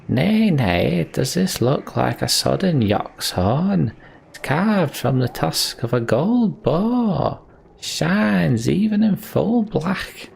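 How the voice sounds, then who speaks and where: A rambling old minstrel from a fantasy game, acted out by a man. He talks in a raised, very lively pitch, colloquial and boastful, cheerful despite his years. Faint noise lies behind the voice. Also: slow, gravelly, exaggerated voice. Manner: colloquial, storytelling, swooping emphasis. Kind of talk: reading video game dialogue in character.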